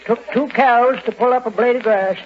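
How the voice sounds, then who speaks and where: An actor playing a gloomy old New England farmer with a laconic, deadpan rural drawl in a 1940s radio comedy. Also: male voice, very high voice, normal speed. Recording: dull noisy vintage radio broadcast.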